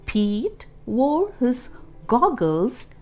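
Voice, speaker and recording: A woman reading a children's storybook aloud as host, expressively and deliberately, adding explanations and questions for young listeners. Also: on the high side, big pitch swings, faint music behind.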